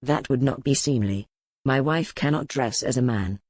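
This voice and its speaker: Stern warrior duke for a historical romance audiobook, played by a woman: pitched well down, terse, courtly, dry close recording. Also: a little mellow, no echo. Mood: stern, formal. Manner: formal, terse, measured courtly phrasing. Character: gruff commanding medieval duke, a stern male warrior.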